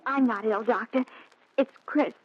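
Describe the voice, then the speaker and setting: Casting: pleading woman in her early twenties, higher register, 1940s radio drama sound. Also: a little slow, slightly rough. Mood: anxious, pleading. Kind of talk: 1940s radio thriller acting. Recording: muffled 1940s radio recording.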